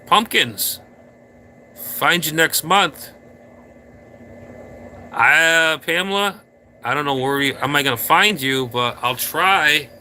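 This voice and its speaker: A man's voice: a little high, slow pace, pauses often, pushed and bright, a little hiss or hum. A man hosting a casual live stream, rambling playfully and talking straight to his viewers from a room indoors.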